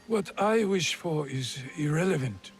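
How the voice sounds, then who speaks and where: A man playing a middle-aged, scheming feudal Japanese lord and stern father in a video game, measured and imperious, with veiled menace. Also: a little high, animated. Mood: curt, imperious. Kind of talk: cinematic video game acting. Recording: music behind the voice. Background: background music.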